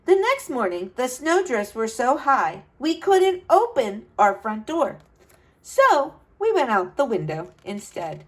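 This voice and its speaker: A woman's voice: on the high side, very animated, slow pace. A woman reading a storybook as an earnest, adventurous young boy who tells his own tale dramatically. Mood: wonder, effort.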